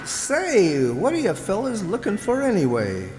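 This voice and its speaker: A man's voice: sits high, wildly swooping pitch, measured pace, heavy background noise, exaggerated voice. A man acting an affable, folksy ship's skipper in an old-time radio drama, full of exclamations. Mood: affable, puzzled. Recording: echoey reverberant hall recording.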